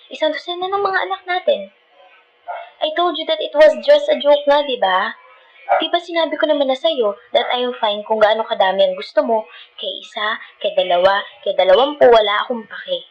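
A woman's voice: sits high, lo-fi sound. A woman with a Filipino accent playing a feisty, dramatic but loving girlfriend in an ASMR roleplay, sassy and mixing two languages as she talks. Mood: indignant. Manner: sassy, scolding, switching between Tagalog and English.

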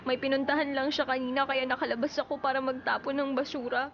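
A woman's voice: high-pitched, level tone, fast talker, slight background noise. A woman acting a young wife kept at home by a jealous husband, pleading and tearful, in a radio drama. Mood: hushed sorrow. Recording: lo-fi radio broadcast with music.

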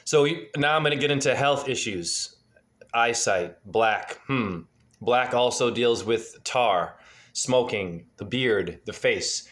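A man's voice: medium pitch, lively intonation, slow, fairly bright tone. Earnest man on a video call. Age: 40s. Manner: freewheeling, earnest, asks probing questions. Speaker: spiritual numerology reader. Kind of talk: casual video-call name reading. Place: dim room indoors at home. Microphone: webcam on a video call.

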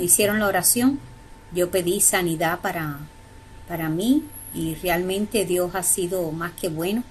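An older woman gives a grateful, plain-spoken testimony of her healing. Her voice is low, with faint noise behind it. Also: poor audio. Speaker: prayer testimony giver. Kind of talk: personal testimony.

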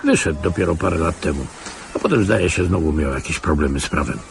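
Gravelly, low old ex-officer. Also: male voice, expressive, fairly quick, slight background noise, full character voice. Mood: weary, guarded. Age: older. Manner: sardonic, patronising, chuckling asides. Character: world-weary, cynical old retired security-service officer. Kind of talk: Polish radio play acting.

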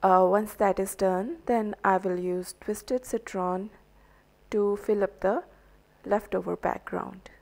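Slow, hesitant Indian woman crafter. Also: medium pitch, steady tone, vocal fry, a little mellow. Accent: Indian English. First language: Hindi. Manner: slow, hesitant, many ums and uhs. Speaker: art journaling crafter. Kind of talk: crafting tutorial voice-over.

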